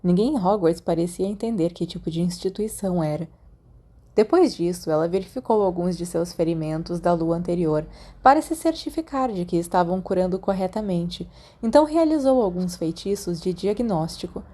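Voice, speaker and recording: A woman's voice: fairly low pitch, animated, moderate pace, mellow. A woman with a Brazilian accent reading a story aloud as a scripted audiobook narration. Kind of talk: scripted fan fiction narration with acted dialogue.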